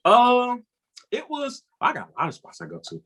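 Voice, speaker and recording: Chill guy host, kinda husky voice, pitch jumps around a lot. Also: wildly swooping pitch, moderate pace, a bit bright. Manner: laid-back, casual swearing, "you know what I'm saying". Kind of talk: casual conversation.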